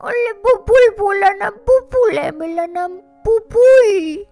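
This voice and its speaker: A tearful little boy, speaks in a very high, whining voice. He is petulant and stubborn, repeating his demands like a comic character in a travel video, with faint music behind. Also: slow pace, a little mellow, heavily acted. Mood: insistent, petulant.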